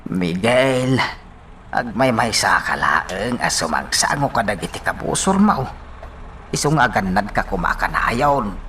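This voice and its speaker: A man's voice: on the low side, big pitch swings, a little slow, pushed and bright, a little hiss or hum, exaggerated voice. A man acting a concerned, cautioning village elder in an Ilocano radio drama.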